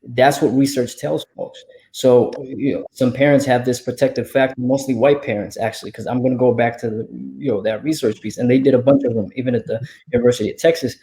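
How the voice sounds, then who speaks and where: A man's voice: mid-range voice, fairly even intonation, fast, warm tone, slight background noise, poor audio. A man speaking earnestly as a guest in a casual panel discussion, often checking in with "right?". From Somalia.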